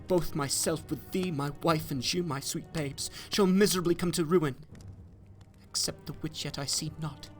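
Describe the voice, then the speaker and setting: A man's voice: fairly high pitch, moderate pace, faint music behind, pitched above the performer's own voice, natural voice. A man voicing a distressed, burdened man in rags who pleads and despairs in archaic language, in a dramatic story reading. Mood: anguished. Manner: anguished, pleading, archaic diction.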